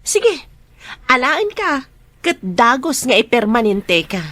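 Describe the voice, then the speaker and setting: Woman as a businesslike boss, Ilocano accent, high and lively. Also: slight background noise, heavily acted. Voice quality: brisk, businesslike. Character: young woman restaurant owner, strict boss.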